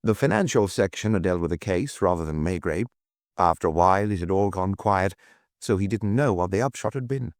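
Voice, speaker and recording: A man's voice: low-pitched, lively intonation, brisk, dry recording, clean studio sound. A man reading a detective novel as a scripted audiobook narration.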